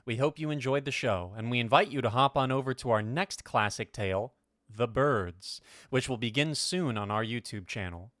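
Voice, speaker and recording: A man speaks as the announcer of a radio drama show. His pitch is average and his delivery scripted, in a dry recording. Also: moderate pace, fairly bright tone, no echo.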